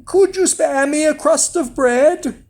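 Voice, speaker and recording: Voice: high voice, takes it slowly, darkish tone, pitched above the performer's own voice. A storyteller voicing a cheeky, confident, restless boy in a children's fairy tale. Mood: wheedling.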